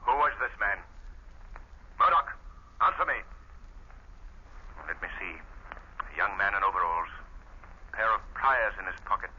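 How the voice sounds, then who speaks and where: An actor playing a mysterious male avenger who speaks calmly and commandingly, in 1930s radio drama. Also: normal speed, long pauses, a little hiss or hum. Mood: stern. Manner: calm, low and commanding. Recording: muffled old radio broadcast.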